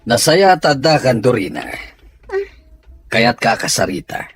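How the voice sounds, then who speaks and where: A man's voice: medium pitch, very animated, measured pace, faint music behind. A man with an Ilocano Filipino accent playing a stern, worried father in an audio soap drama. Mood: grave, questioning.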